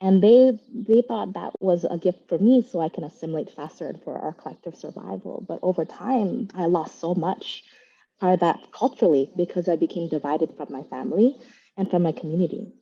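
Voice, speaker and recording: Fast-talking woman, first language Vietnamese. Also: lowish voice, gravelly, breathy edge, muffled recording. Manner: fast, earnest storytelling. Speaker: panelist. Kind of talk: personal reflection on a video call. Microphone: video call.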